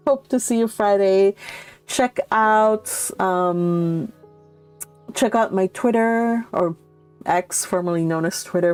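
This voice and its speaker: A woman's voice: medium pitch, darkish tone, smooth. A woman hosting a read-along, reading aloud and chatting informally with her listeners. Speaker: read-along host reading a classic novel and commenting. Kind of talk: storybook narration and casual livestream chat.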